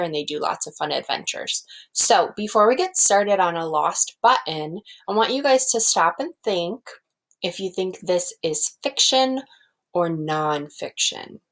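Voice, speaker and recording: A woman teacher explaining things simply to young children and reading a storybook aloud. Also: fairly low pitch.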